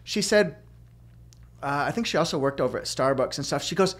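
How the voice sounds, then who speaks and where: A man's voice: fairly high pitch, lively intonation, brisk, a bit bright. A middle-aged man with a young-sounding voice, hosting a podcast and telling rambling stories full of fillers and restarts. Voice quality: youthful-sounding voice. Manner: chatty storyteller with many fillers and restarts. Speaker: podcast host. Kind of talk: casual chat.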